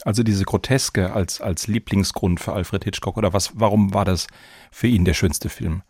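Male podcast host, lower register, presenting from script in a dry studio. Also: steady tone, normal speed, darkish tone, breathy edge, dry recording.

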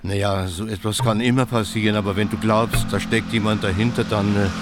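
An actor playing a chummy small-town friend, talking in a familiar, coaxing film-dialogue manner. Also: male voice, on the low side, steady tone, fairly quick, over loud music, lightly acted. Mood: concerned, evasive. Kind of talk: naturalistic film acting. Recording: film scene with clear background noise.

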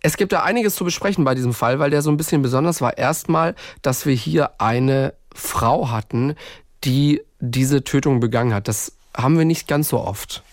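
A man's voice: medium pitch, moderate pace, dry recording, studio quality. A man hosting a podcast in a casual, colloquial conversational way. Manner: informal, colloquial. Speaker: podcast host.

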